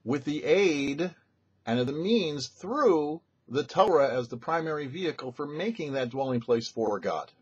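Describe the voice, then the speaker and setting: Rabbi teaching Torah in lively tones. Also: male voice, a little high, husky, dull audio. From United States. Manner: thoughtful explanatory teaching with pauses. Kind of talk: weekly Torah commentary. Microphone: studio mic.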